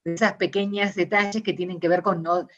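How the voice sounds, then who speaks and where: A middle-aged Argentine woman with an Argentine Spanish accent, answering interview questions in a thoughtful, searching way with many pauses and restarts. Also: on the low side, steady tone, a bit bright, lo-fi sound. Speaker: interviewee, discourse analysis scholar. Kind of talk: reflective conversational interview.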